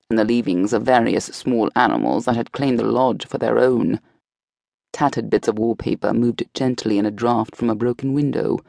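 A woman reading an audiobook with expressive, measured narration. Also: extremely low pitch, vocal fry, no room sound, studio quality. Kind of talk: scripted narration.

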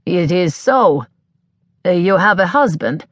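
A woman voicing a brash, flirtatious man who speaks slow, halting broken English. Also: on the low side, lively intonation, no room sound. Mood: chatty. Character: brash flirtatious Italian man, self-assured.

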